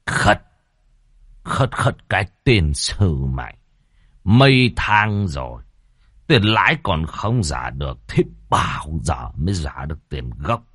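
A man voicing a greedy landlord in his fifties who bullies in a slow, low, gruff drawl. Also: very animated, fairly bright tone, no room sound, studio quality, exaggerated voice. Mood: menacing.

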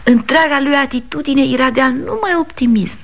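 An actress playing a gentle, supportive mother and wife who speaks softly and encouragingly, in a 1960s radio drama. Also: high voice, gravelly.

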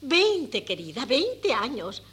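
A woman's voice: sits high, huge pitch swings, takes it slowly, a bit bright, breathy edge, heavily acted. A woman with a Castilian Spanish accent playing a doting, proud, naively chatty middle-aged mother in a radio play. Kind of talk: 1970s Spanish radio theatre acting. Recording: noisy 1979 radio cassette recording.

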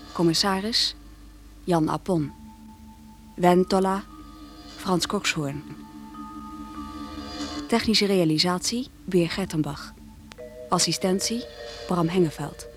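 A woman announcer reading radio drama credits in a formal, scripted style. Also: lowish voice. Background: music.

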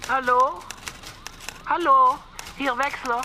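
High-voiced immigrant wife, halting broken phrasing, radio play, clearly noisy background with traffic. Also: female voice, sharp tone, full character voice. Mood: plaintive, polite. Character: plaintive immigrant wife with broken German, politely asking. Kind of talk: radio crime drama acting.

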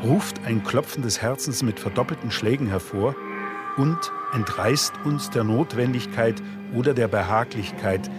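A man's voice: mid-range voice, lightly acted. Solemn male actor as philosophical reciter over music. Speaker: narrator. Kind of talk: radio play recitation of philosophical prose.

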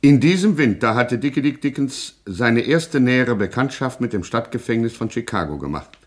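A man's voice: medium pitch, lively intonation, normal speed. Male narrator for radio satire: mid-range voice, ironic, literary, mock-solemn.

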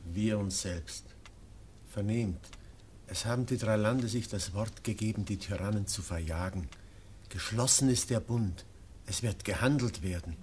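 An actor playing an ally urgently announcing news of a pact, in quick verse. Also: male voice, fairly low pitch, darkish tone, a little hiss or hum, close to an everyday voice.

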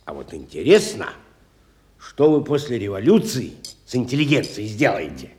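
An actor playing a wealthy, educated, bitter industrialist in a radio stage drama, theatrical and musing, moving between soft and emphatic speech. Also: male voice, fairly low pitch, big pitch swings, moderate pace, a little hiss or hum. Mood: sardonic, brooding.

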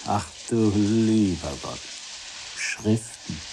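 A scornful, exasperated man grumbles in disbelief. His voice is fairly low and slow, in a radio drama with faint noise behind it. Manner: grumbling, incredulous. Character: exasperated, scornful man complaining about another.

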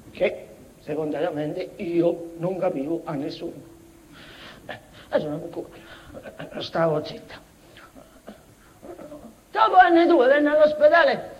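A woman's voice: mid-range voice, lo-fi sound, slight background noise. An actress playing a mad, childlike, tormented mother in a tragicomic stage monologue, speaking a broken invented dialect with obsessive repetitions and stammered restarts. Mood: bleak, withdrawn.

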